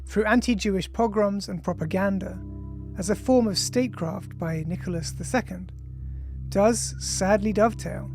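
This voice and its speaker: An author reading their own nonfiction audiobook in a measured, formal, deliberate way. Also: mid-range voice. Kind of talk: scripted audiobook narration of an essay.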